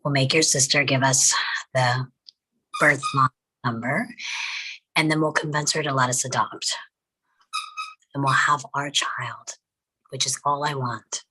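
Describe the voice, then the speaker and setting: A woman's voice: very deep voice, fairly even intonation, a little slow, pauses often, pushed and bright, slightly rough, exaggerated voice, rough recording. A woman playing a determined, controlling young wife at a drama table read. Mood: insistent.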